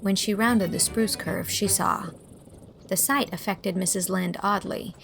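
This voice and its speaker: A woman's voice: mid-range voice, steady tone, a little slow, creaky, over music. A woman reading scripted narration for a classic storybook.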